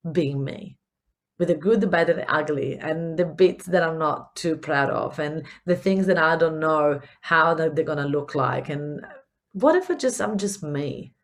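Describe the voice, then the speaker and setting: Italian-accented woman, earnest and encouraging. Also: low-pitched. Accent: Italian, with a mixed Spanish touch. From Italy. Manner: earnest, encouraging, repeats words when searching for them. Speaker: podcast host, business coach. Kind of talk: solo podcast monologue.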